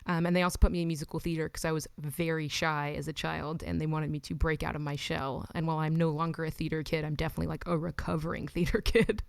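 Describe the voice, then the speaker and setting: Young woman, smooth low-pitched voice. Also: flat delivery, fast talker, few pauses, dry recording. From Los Angeles. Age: 20s. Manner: chatty, frequent "like" and "um", laughs between thoughts. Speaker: musician guest. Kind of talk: casual interview chat.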